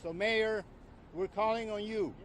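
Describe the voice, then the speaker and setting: A man, a community activist, gives a measured statement at a press conference, stumbling over his words at times. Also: high-pitched, steady tone.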